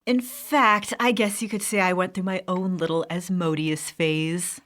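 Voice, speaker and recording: A woman's voice: on the low side, steady tone, unhurried, fairly bright tone. A woman playing an easygoing, teasing mother in an audio drama, with a light manner. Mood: dreamy teasing.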